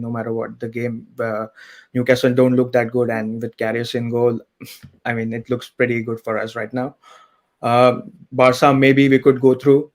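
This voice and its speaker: A man calling in to a talk show, talking in a measured way and thinking aloud. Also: mid-range voice. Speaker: caller. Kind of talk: casual call-in chat.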